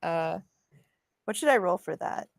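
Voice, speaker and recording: A woman's voice: on the low side, expressive, a little slow, gravelly, no room sound, studio quality. A woman chatting casually as a player in a tabletop role-playing game.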